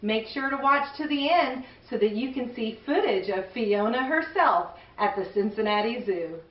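A middle-aged woman hosts a children's read-aloud with enthusiasm. Her fairly high voice sounds roomy and a little far from the mic. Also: expressive, normal speed. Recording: roomy, distant, slightly dull sound.

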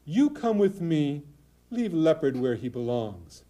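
A wise old storybook goat, as a man voices him, sly and calm. He talks in a dry, knowing tone with a warm, mellow voice. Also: on the high side, slow.

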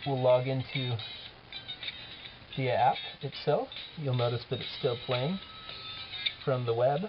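A man giving an informal software demo as the app's developer, talking casually and haltingly as he thinks aloud. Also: medium pitch. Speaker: app developer presenting a demo. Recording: dull lo-fi camera audio, music behind.

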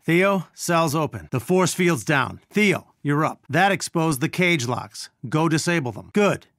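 A man's voice: on the high side, sing-song, unhurried, a bit bright, no room sound, clean studio sound, heavily acted. Male game role: earnest scientist and resistance leader briefing a hero squad over comms, natural delivery. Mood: instructive. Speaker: mission support over comms. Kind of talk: video game voice acting.

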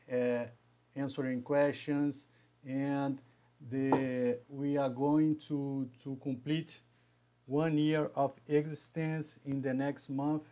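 A man in his thirties with a Brazilian Portuguese accent, hosting a live stream from a small home room into a webcam and talking haltingly, restarting and repeating words as he goes. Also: mid-range voice, dark tone. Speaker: engineering educator hosting a live session. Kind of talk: live stream talk to viewers.

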